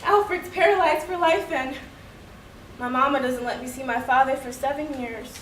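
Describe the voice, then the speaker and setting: A woman's voice: high voice, moderate pace, a bit bright. A woman performing a theatrical monologue as a wounded, frank young girl, with a childlike, dramatic, emphatic delivery. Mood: frightened, distressed. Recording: echoey room, distant mic, faint noise.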